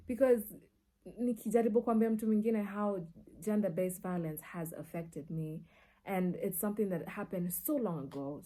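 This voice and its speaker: A woman with a Kenyan accent telling personal stories casually and expressively, slipping between languages and acting out dialogue. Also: medium pitch. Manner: expressive, acts out dialogue and reactions. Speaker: media personality and storyteller. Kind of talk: casual personal storytelling, mixing in Swahili.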